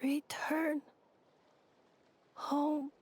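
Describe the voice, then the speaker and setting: A woman playing a frail humanlike machine girl in a video game, dazed, faint and halting. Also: sits high, flat delivery, a little mellow, slight background noise. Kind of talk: video game character acting.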